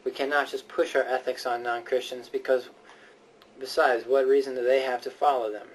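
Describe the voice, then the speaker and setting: A man's voice: mid-range voice, little pitch movement, normal speed, slight background noise. A man delivering a persuasive, sermon-like speech in an earnest, emphatic and often hesitant way. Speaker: speaker giving a prepared speech. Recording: voice distant from the microphone.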